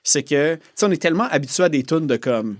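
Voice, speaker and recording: Quebec podcast host guy, bit high pitched, dry mic, chatty, jumps in a lot. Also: animated, quick pace, no echo. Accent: Quebec French. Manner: chatty, eager, talks over guest. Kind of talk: casual podcast interview.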